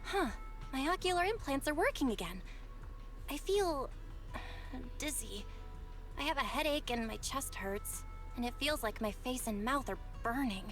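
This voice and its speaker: A woman's voice: sits high, lively intonation, normal speed, a bit bright, faint music behind. A woman voicing an earnest, curious young heroine in an anime-style game, speaking expressively. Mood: dazed.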